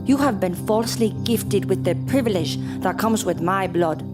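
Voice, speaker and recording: A woman's voice: medium pitch, moderate pace, natural voice. A woman playing an imperious, cruel female vampire in an audio horror drama, speaking with haughty, formal, theatrical diction. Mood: contemptuous. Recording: loud music under the voice.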